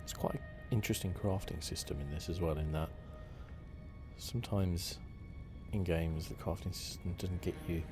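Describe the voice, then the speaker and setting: A soft-spoken man hosting a relaxed, informal ASMR video game playthrough. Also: deep voice, normal speed. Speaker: gaming channel host. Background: game music.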